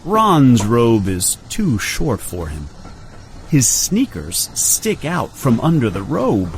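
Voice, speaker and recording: A man narrating a read-along audiobook story in a deliberate, expressive manner. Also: mid-range voice, slight background noise, low-quality recording.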